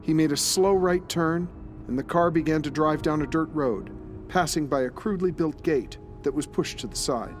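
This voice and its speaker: A man's voice: on the high side, normal speed. A man reading scripted narration for an audio drama, as its narrator.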